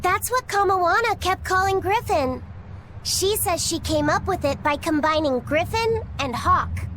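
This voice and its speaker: A very high-pitched, innocent young boy in a video game, curious and earnest. He speaks in a childlike way, with faint noise behind. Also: lively intonation, a little slow, fairly bright tone, heavily acted.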